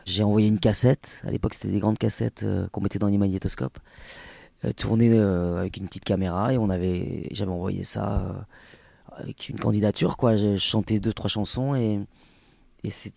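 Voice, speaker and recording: Basque guy in his 40s, mellow low voice, lots of euh and restarts, dry sound. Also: steady tone, fairly quick, vocal fry, dry recording. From French Basque Country. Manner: hesitant, many fillers and restarts. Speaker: singer and actor guest. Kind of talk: relaxed interview talk.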